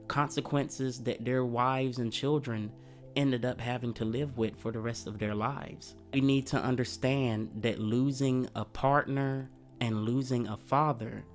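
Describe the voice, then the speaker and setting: A man hosting a history channel, talking in an earnest, conversational way while he comes down with a cold. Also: mid-range voice. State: coming down with a cold. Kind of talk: reflective history commentary.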